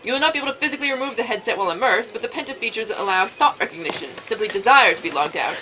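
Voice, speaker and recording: A woman's voice: on the high side, lively intonation, rapid-fire, sharp tone, soft background music, rough recording. A woman voicing a stern lead developer giving formal, insistent instructions in a visual novel read-aloud. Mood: grave warning. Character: stern lead developer briefing virtual reality testers. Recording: faint background noise.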